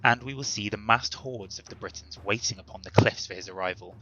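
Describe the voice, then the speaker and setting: A man's voice: lowish voice, fairly quick, fairly bright tone, dull audio, slight background noise. A man delivering a scripted history introduction in a formal, emphatic, lecturing way. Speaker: presenter introducing a dramatic reading.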